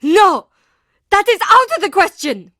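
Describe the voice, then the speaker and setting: A woman's voice: very high-pitched, big pitch swings, measured pace, no echo, clean studio sound, exaggerated voice. Piercing, proud, defiant woman. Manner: declamatory, forceful.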